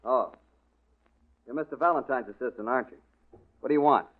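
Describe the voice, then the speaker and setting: Man playing suspicious surly young male tough, American, quick guarded speech, 1940s radio drama, tinny phone-like recording. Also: mid-range voice, natural voice. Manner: clipped, guarded. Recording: thin, narrow old radio recording.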